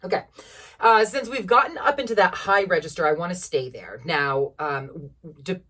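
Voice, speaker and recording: A woman in her thirties with a low-placed speaking voice, leading a singing warm-up at home in an encouraging, instructive way. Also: moderate pace, distant mic. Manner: encouraging, instructive, demonstrates vocal sounds. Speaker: voice teacher. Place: small room at home with a piano.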